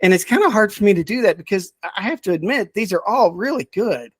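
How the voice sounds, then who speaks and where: A middle-aged man chatting casually as a podcast's subject expert, thinking aloud in a halting way with repeated words. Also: high-pitched, expressive, breathy edge, no room sound, studio quality. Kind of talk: casual chat.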